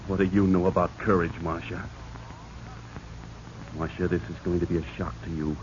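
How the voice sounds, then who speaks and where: A man's voice: low-pitched, flat delivery, normal speed, close to an everyday voice. A man playing a resentful, scheming office climber turned killer in a 1940s radio drama, hard-edged and bitter. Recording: muffled, noisy 1940s radio recording.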